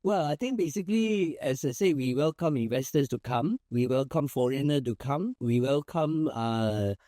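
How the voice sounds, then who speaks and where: A man's voice: mid-range voice, animated, moderate pace, dark tone, no echo, clean studio sound. A Malaysian man, a small firm's managing partner, answering interview questions in an easygoing, rambling way with many fillers and restarts.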